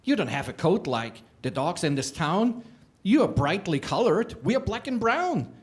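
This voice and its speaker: A man's voice: high-pitched, big pitch swings, normal speed. A man voicing a pack of dogs who bluntly reject another animal.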